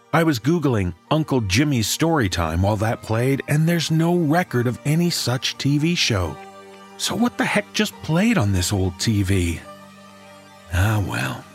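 A man hosting a podcast, speaking in a scripted but conversational style. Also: mid-range voice. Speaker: podcast host.

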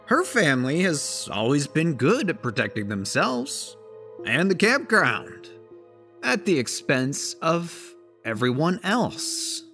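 An actor playing a bitter, middle-aged grieving father in an audio drama, speechifying accusingly at a town with drawn-out emphasis. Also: a little high, sing-song, bright, forceful tone, soft background music, heavily acted. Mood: angry rallying. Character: bitter grieving father rousing a town against his enemy.

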